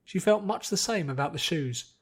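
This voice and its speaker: A man's voice: fairly high pitch, animated, normal speed, breathy edge. A man reading scripted fantasy fiction aloud as its narrator.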